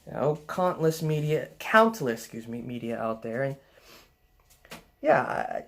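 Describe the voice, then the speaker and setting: A young man with a soft voice, vlogging in a casual, rambling way, full of fillers and restarts. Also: medium pitch, sing-song, measured pace. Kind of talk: casual rambling vlog talk.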